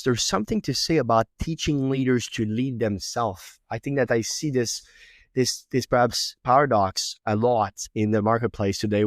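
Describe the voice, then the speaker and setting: A man's voice: medium pitch, no echo, studio quality. A man from Quebec whose first language is French, speaking English with a Québécois French accent as a podcast host, often repeating words as he builds his thoughts. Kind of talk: reflective interview talk.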